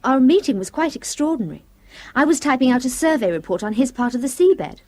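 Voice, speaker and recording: A woman's voice: high voice, expressive, brisk. An actress playing an affectionate, gushing young woman secretary in a radio drama. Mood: fond. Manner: warm, gushing, fond. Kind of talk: 1970s radio drama acting.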